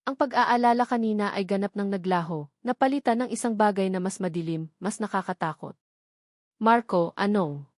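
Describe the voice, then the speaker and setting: A woman's voice: medium pitch, brisk, hardly any pauses, a little mellow, no room sound. Woman narrating drama, dry close sound. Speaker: story narrator.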